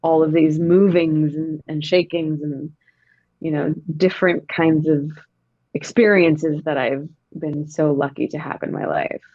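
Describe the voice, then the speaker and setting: Woman actor, interview guest, slightly low pitch, dry dull recording. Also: unhurried, dry recording. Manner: reflective, expressive, emphatic. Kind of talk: casual interview chat.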